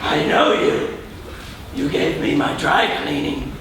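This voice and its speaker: A man's voice: fairly high pitch, expressive, slow pace, gravelly, full character voice. A man playing an indignant, self-righteous superhero in a comic stage play, with overblown declamation. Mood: accusing. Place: stage in a hall, filmed from the audience. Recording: very noisy echoey hall from far off.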